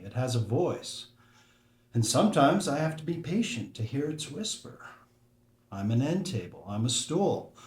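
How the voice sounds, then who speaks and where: An actor reading the part of a patient, fatherly woodworker who speaks in an unhurried, reflective way at a script table read. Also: medium pitch, a little mellow, close to an everyday voice. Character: patient, fatherly male woodworker, gently philosophical. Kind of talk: dramatic screenplay table read. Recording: echoey room with clear background noise.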